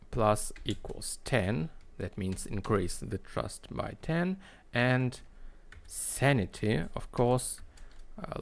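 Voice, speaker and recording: A man narrating a screen-recorded coding tutorial in a halting, explanatory way. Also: fairly low pitch, creaky. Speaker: tutorial host.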